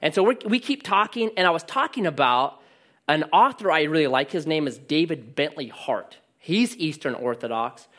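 Loud, lively male preacher. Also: a little high, moderate pace. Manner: loud voice that carries; animated storytelling with jokes. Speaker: pastor. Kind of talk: sermon.

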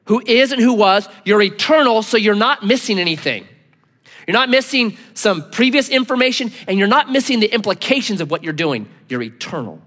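A man preaching a sermon as a pastor, earnest and emphatic, dropping to low, intense tones for weight. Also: lively intonation, a little fast, a bit bright. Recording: long hall reverb.

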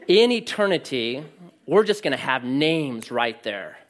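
A man preaching a sermon with a loud voice that carries, telling stories and cracking jokes. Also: medium pitch, unhurried. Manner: animated storytelling with jokes. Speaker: pastor.

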